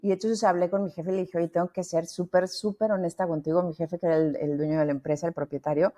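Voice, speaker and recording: A talkative middle-aged woman with a Mexican Spanish accent, speaking as a podcast guest. Her voice sits fairly low and sounds warm and mellow. She restarts her sentences often. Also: quick pace. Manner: lively, talkative, many restarts. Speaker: founder and guest. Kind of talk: podcast interview.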